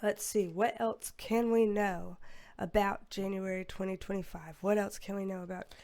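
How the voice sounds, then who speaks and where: A young woman talks through a tarot spread in a loose, rambling way, sighing and filling pauses with ums. Her voice sits in a middle pitch but is wearing thin and hoarse. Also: steady tone, darkish tone. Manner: rambling, filler-heavy, with sighs. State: losing her voice, a bit hoarse. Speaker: tarot reader. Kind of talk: casual psychic reading to camera.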